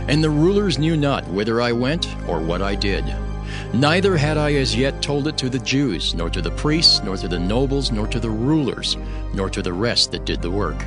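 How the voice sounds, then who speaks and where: A man giving a dramatic scripted narration of scripture, solemn and declamatory. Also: mid-range voice. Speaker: Bible narrator, voicing Nehemiah. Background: music.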